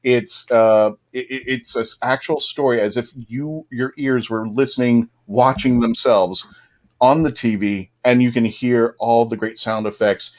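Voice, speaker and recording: Male panel host, medium pitch, casual and emphatic, ready with a joke. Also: fairly even intonation, a little slow.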